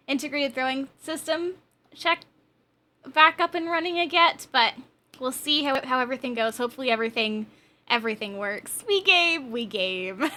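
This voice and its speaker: Bright, high-voiced young woman streamer. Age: 20s. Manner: giggly, chatty, bubbly. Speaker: game streamer. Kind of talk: casual livestream chat with viewers.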